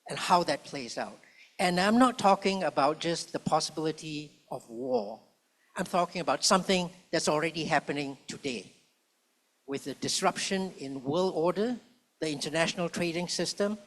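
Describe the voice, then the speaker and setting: A man with a slightly higher voice speaks on a conference panel. He is measured and rhetorical, drawing out his key phrases and leaning into the contrasts he builds. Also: a bit bright. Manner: measured, rhetorical, slows for emphasis. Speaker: panellist, public policy professor. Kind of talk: panel talk. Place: conference hall.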